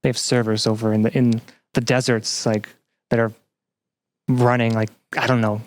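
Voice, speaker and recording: A man answering as a podcast guest in a conversational, explanatory way, often pausing to search for words. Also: mid-range voice, level tone. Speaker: podcast guest, student game developer.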